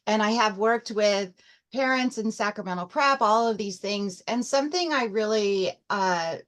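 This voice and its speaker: A middle-aged woman who has long worked in the church, asking a thoughtful question in an online meeting and pausing to find her words. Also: mid-range voice.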